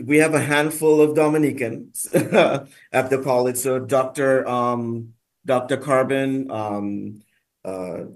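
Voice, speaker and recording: A man with a fairly low voice answers in a conversational interview. He speaks haltingly, often pausing and repeating himself as he builds a thought. He is a guest from the United States who works at a college. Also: darkish tone. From United States, South Florida. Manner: halting, many pauses and repeats. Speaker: college staff member, guest.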